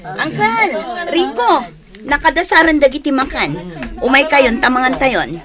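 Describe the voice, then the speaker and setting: High-voiced Ilocano daughter. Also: female voice, expressive, measured pace, crowd noise. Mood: eager. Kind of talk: Ilocano radio drama acting.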